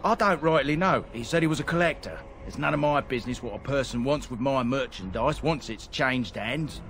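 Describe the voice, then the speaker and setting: A man's voice: medium pitch, brisk, a bit bright, slight background noise, natural voice. An actor playing a cowardly, nervous male merchant in a fantasy game, hesitant and evasive. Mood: defensive. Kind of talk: video game voice acting.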